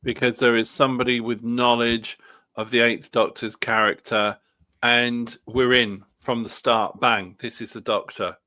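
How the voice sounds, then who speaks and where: A man talking casually as a podcast panellist, sometimes pausing to search for his words. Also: mid-range voice, flat delivery, bright, forceful tone. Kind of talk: casual fan discussion.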